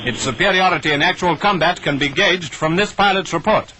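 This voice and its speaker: A man's voice: a little high, fairly quick, background noise. A man with a British RP accent delivering clipped, declamatory wartime newsreel commentary on an old 1940s soundtrack. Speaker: newsreel narrator.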